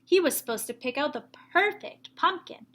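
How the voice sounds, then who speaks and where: A woman teacher reading a story aloud to young children, with expressive emphasis and explanatory asides. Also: high voice, normal speed.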